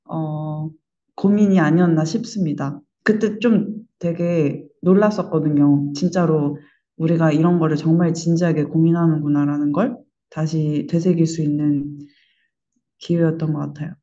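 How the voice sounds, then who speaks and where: A woman giving reflective interview answers as a member of a creative team, earnest and measured, in slightly muffled sound. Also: deep voice, steady tone, mellow.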